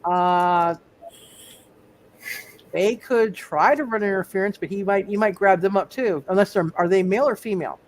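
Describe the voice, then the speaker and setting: A man with a notably high voice works as game master of a live tabletop roleplaying session. He improvises the narration, doubling back and repeating phrases as he thinks. Some background noise sits behind him. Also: normal speed.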